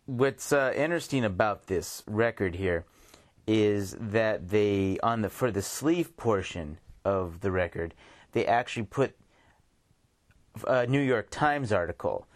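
Male podcast host, lowish pitch, dry sound, unscripted laid-back chat that wanders. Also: expressive, a little slow, no room sound.

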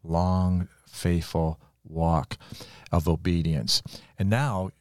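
Deep-voiced older man, chatting about faith. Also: steady tone, drawn-out words, a little mellow, no room sound, clean studio sound. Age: 60s or older. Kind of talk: casual religious conversation with personal testimony.

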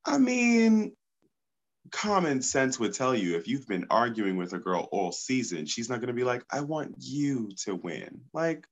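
A man's voice: mid-range voice, very animated, measured pace, lo-fi sound. A congested man, a podcast guest, talking enthusiastically and outspokenly. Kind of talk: casual podcast chat.

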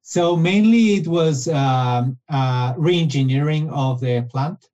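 A man's voice: fairly high pitch, slow pace, dark tone, lo-fi sound. A man, talking as a guest in an interview, often restarting his phrases. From Argentina. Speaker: guest, business development director. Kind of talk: casual interview conversation.

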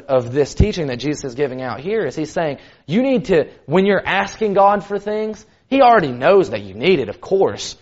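Guy preaching a sermon, loud voice that carries, kinda high, chatty, dull lo-fi sound. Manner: conversational, many fillers like "kind of". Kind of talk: sermon teaching. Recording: dull lo-fi recording.

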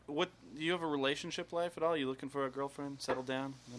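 A man hosting a casual talk show, upbeat and quick to tease his guests and laugh. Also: a little high, fairly even intonation, fairly bright tone, slight background noise. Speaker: host.

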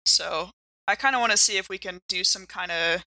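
A woman's voice: lowish voice, brisk, bright, forceful tone, dry recording, poor audio. A woman playing in an improvised tabletop role-playing show, conversational and thinking aloud. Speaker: player in a role-playing game. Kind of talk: casual improvised tabletop actual play.

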